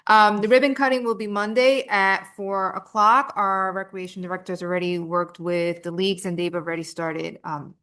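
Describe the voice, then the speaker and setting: A woman with a Spanish accent giving relaxed, lightly joking updates at a council meeting. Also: medium pitch, normal speed, hardly any pauses, clean tone. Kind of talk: informal meeting report.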